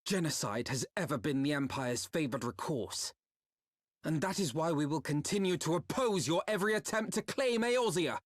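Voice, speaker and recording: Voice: medium pitch, lively intonation, moderate pace, no echo, studio quality, close to an everyday voice. An actor playing an earnest, defiant companion of a hero in a fantasy game, with formal, emphatic diction. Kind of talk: scripted fantasy game cutscene acting.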